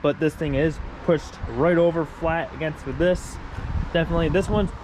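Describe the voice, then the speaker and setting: A man's voice: on the high side, steady tone, normal speed, background noise. A man narrating casually while he takes apart a wrecked car, rambling and correcting himself, with a congested voice. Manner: rambling, informal, self-correcting as he works. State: congested after being sick. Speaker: vlogger working on his race car.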